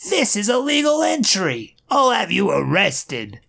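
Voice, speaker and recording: A man voicing an indignant male butler who panics easily, talking in a shrill, flustered, shouting way. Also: wildly swooping pitch, a little slow, vocal fry, heavily acted.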